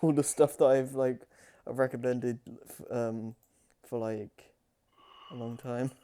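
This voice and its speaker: A tired man co-hosting a casual livestream chat in a halting, tentative manner. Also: medium pitch, steady tone, mellow. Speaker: co-host.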